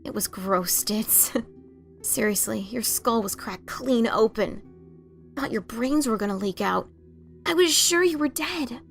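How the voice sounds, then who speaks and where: A woman voicing a brash, adventurous pegasus mare in a theatrical, expressive fan fiction reading. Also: a little high, a little slow, vocal fry, faint music behind. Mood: earnest. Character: brash adventurous pegasus mare, writer, bickering older sibling.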